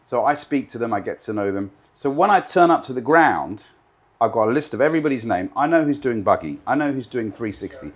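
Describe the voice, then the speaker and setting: A man's voice: medium pitch, lively intonation, a little fast. A man in his fifties, raised in Britain, a live TV director answering interview questions confidently, often stacking points in emphatic lists.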